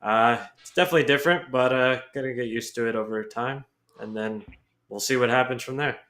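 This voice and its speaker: A man's voice: mid-range voice, fairly quick, a bit bright. A man from New York with a Brooklyn accent, talking as a guest in a casual chat, laid-back and plainspoken. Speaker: grappling guest analyst.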